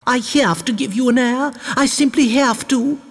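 A man's voice: very high-pitched, moderate pace, vocal fry, in a large hall, voice pushed up, exaggerated voice. A man voicing an imperious, plaintive, scheming baroness in a storybook tale. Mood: tragic, plaintive. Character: scheming, melodramatic baroness desperate for an heir. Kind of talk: storybook character voice.

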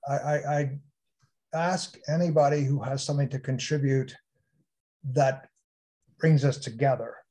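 A man moderating a panel session, speaking haltingly with frequent restarts. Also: mid-range voice, fairly even intonation, warm tone. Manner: halting, restarts sentences often. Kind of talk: hosting an online panel session.

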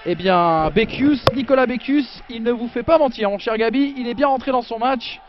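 A man doing play-by-play football commentary, chatty and jokey, bursting with excitement on big chances. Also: very high-pitched, normal speed, lo-fi sound, music in the background.

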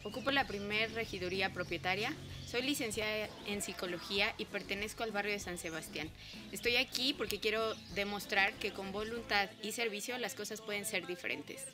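Young woman calmly introducing herself. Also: medium pitch, a little fast. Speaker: council candidate. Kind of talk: scripted campaign statement. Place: outdoors among green plants. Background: campaign music.

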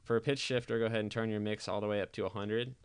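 A man giving a casual software tutorial voice-over, explaining things informally step by step. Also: fairly low pitch, steady tone, rapid-fire, smooth. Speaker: tutorial presenter.

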